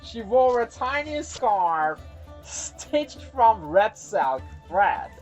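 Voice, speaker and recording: A woman's voice: medium pitch, sing-song, extremely slow, darkish tone, soft background music. A woman from Iran, hosting and narrating a folktale for children in a chatty, giggly manner. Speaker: storytelling host.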